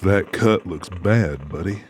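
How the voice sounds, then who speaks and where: A man playing a calm, protective tough guy with a tender side, speaking steadily in an audiobook drama. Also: low-pitched, slow pace, slight background noise. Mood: steady.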